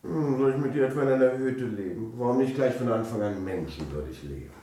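An actor playing a charming, broke young husband in a German radio drama, breezy, cajoling and evasive. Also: male voice, medium pitch, expressive, a little fast, warm tone, close to an everyday voice. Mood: cajoling, smooth. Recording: echoey room, distant mic, faint noise.

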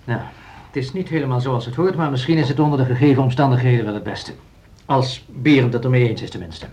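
A man's voice: medium pitch, fast, a little mellow, lightly acted. An actor playing a blunt, procedure-minded man on a music school staff in a Dutch radio drama, argumentative in manner. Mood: conceding.

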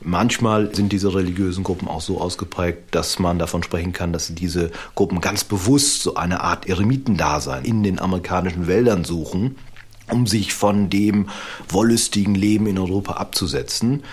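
A man speaking as an expert historian, explaining in a deliberate way. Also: low voice, husky. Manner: deliberate, explanatory, builds long lists. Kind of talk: expert interview.

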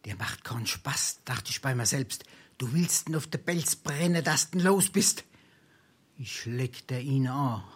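A penniless, wily braggart in a Hessian dialect comedy. His delivery swoops widely, with theatrical pauses and a thick local Darmstadt flavour as he spins tall tales. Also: mid-range voice, lively intonation, breathy edge. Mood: boastful. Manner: theatrical, braggartly, hesitating for effect. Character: boastful, wily penniless male scrounger spinning tall tales. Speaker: stage actor.

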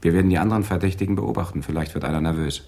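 Low-voiced inquisitive detective. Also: male voice, rapid-fire, creaky, warm tone. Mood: businesslike, curious. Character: inquisitive, businesslike male police detective. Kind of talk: German radio crime drama acting.

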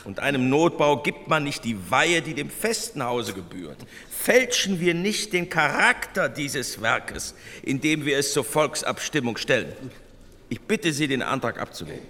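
A man's voice: medium pitch, sing-song, a little slow, pushed and bright, long reverb tail. An actor playing a solemn, pleading male delegate in a postwar parliamentary debate, speaking in an emphatic, declamatory way. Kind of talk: acted parliamentary debate speech.

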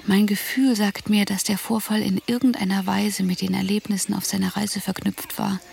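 A woman's voice: mid-range voice, little pitch movement, moderate pace, gravelly, a little mellow, slight background noise. A woman playing a caring, worried young woman in a radio drama, reading letters and diary entries in a composed, reflective way. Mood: concerned.

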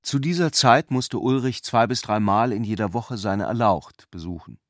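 A man's voice: on the low side, normal speed, no room sound, clean studio sound. A man delivering scripted narration of a literary work as a radio play narrator.

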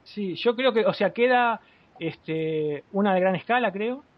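A man's voice: sits high, expressive, unhurried, no echo, muffled recording. A man from Argentina with an Argentine accent, co-hosting a casual podcast and asking each guest in turn, with many fillers. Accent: Argentine Spanish. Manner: leads the talk, asks others in turn, many fillers. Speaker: podcast co-host.

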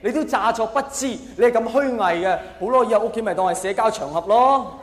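A man's voice: high voice, fairly quick. A man with a Hong Kong accent performing a stand-up comedy monologue, telling stories theatrically and putting questions to his listeners. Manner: theatrical storytelling with rhetorical questions. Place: live on stage in a large hall. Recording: echoey hall with long reverb.

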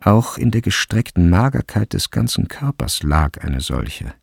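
Deep gravelly guy narrator in a literary radio play, slow deliberate storytelling, instruments playing behind him. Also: big pitch swings, full character voice. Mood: measured, observant. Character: third-person narrator of a turn-of-the-century school story. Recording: studio with music behind. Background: instrumental music.

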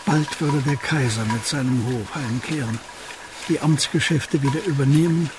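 A man narrating a radio drama as a scripted literary story, in a composed and deliberate manner. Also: mid-range voice, background noise, close to an everyday voice.

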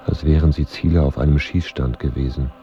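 An actor playing a grave, laconic knight in a radio drama, speaking in a measured, deadpan, solemn way. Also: male voice, rumbling low, muffled recording, faint music behind. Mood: sombre, detached. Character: grave, laconic wandering knight recounting the ruins of war. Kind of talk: literary radio drama narration.

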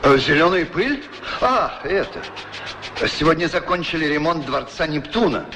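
A man's voice: fairly high pitch, lively intonation, moderate pace. An actor playing a harried, fussy male office official with a fretful, complaining manner in a radio play. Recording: noisy old radio play recording.

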